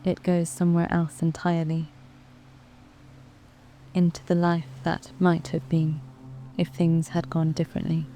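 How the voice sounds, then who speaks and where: A woman with a British accent narrating a guided sleep meditation in a soft, calm, soothing way, with long measured pauses. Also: deep voice. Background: background music.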